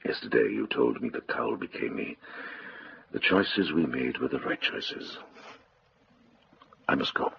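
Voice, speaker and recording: Older monk, very low husky voice, creaky, measured, kindly, radio drama, slightly muffled sound. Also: male voice, expressive, exaggerated voice. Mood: tender, resigned. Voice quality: gravelly, husky. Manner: measured, probing, gentle. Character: observant, kindly older monk investigating a murder.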